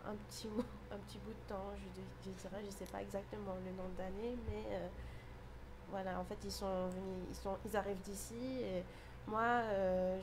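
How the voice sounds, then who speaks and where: A woman's voice: medium pitch, background noise. A young woman, a student guest in a radio interview, talking hesitantly and searching for her words. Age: young adult.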